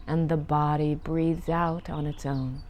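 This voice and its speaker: Female meditation guide, slightly low register, very unhurried, gentle and soothing delivery. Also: flat delivery. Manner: gentle, soothing, lingering on phrases. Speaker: meditation teacher.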